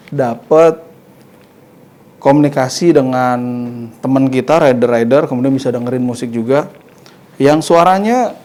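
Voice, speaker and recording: A man's voice: mid-range voice, mellow. A man hosting a casual hands-on motorcycle gear review, informally thinking aloud as he demonstrates. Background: music.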